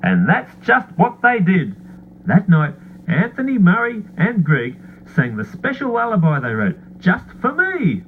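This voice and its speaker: A man narrating a children's bedtime story in an animated, expressive way. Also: high-pitched, unhurried. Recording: tinny phone-like sound, faint hum.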